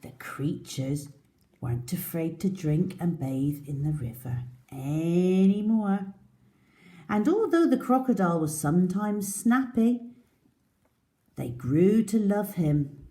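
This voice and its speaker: Mellow, slow, expressive woman storyteller. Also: lowish voice. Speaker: children's storyteller. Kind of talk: expressive picture-book reading for young children.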